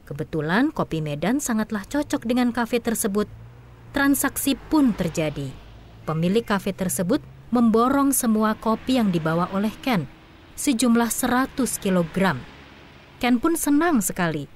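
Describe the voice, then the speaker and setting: A woman narrating a story in the third person in a calm, even storytelling manner for an audio drama. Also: fairly high pitch, normal speed, slight background noise.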